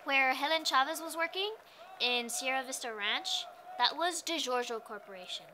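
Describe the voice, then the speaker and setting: An actress playing a spirited woman farmworker organizer recalling a strike in an animated, conversational way. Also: high-pitched, normal speed, noisy background, natural voice. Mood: earnest. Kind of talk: dramatized oral history monologue.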